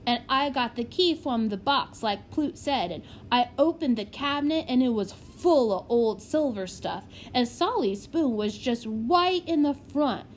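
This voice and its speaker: A woman's voice: a little high, slight background noise. A woman voicing an eager, boastful little girl in a children's storybook, chattering in breathless run-on sentences with childish grammar.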